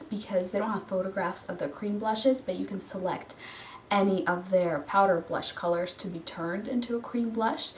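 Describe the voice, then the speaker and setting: A woman with a cold and a hoarse voice, chatting casually through a makeup haul review with frequent ums and restarts. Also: mid-range voice. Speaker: beauty vlogger. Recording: roomy echo, from a distance, faint noise.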